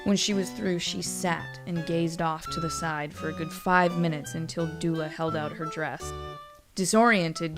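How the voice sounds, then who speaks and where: Woman, slightly low pitch, fantasy story narration, scripted reading, faint background music.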